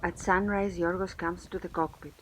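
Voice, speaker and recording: A woman giving calm, scripted storytelling narration for a sailing vlog. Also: lowish voice, normal speed, a little hiss or hum, rough recording.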